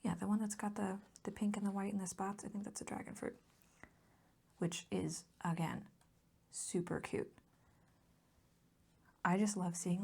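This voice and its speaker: Female ASMR host: hushed, dark-toned and husky, casual rambling delivery, softly enthusiastic. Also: medium pitch. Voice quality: soft, whispery, breathy voice. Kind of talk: soft-spoken ASMR chat.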